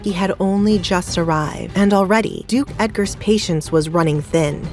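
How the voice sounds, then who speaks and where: A woman's voice: medium pitch, normal speed, a little mellow, faint music behind. A woman reading scripted dramatic narration as the narrator of an audio story.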